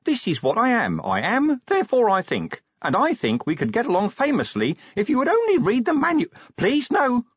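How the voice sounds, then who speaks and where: High, squeaky, eager little imp. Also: male voice, huge pitch swings, moderate pace, hardly any pauses, slightly rough, no echo, muffled recording, heavily acted. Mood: pleading, eager. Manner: pleading, officious, recites like a machine. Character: small chirpy imp inside a gadget, eager to please.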